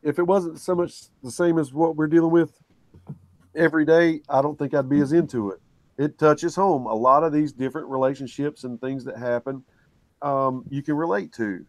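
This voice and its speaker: A man appearing as a guest on a casual online panel, earnest and trailing off softly, on a home computer mic during a video call. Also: fairly high pitch, steady tone, moderate pace, dry recording. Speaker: guest YouTuber.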